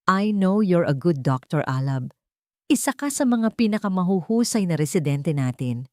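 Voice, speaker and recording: A woman's voice: fairly low pitch, big pitch swings, normal speed, a little mellow, no echo, clean studio sound. A woman with a Filipino accent voicing a serious, blunt hospital superior in an audio story. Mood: firm warning. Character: stern senior doctor, a cautioning hospital superior.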